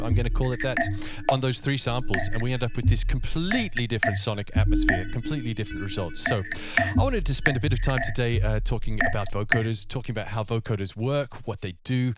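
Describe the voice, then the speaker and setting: A man's voice: medium pitch, no echo. Casual man explaining, dry close sound. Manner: informal, thinks aloud. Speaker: music production tutorial host. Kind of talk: casual screen tutorial, explaining step by step.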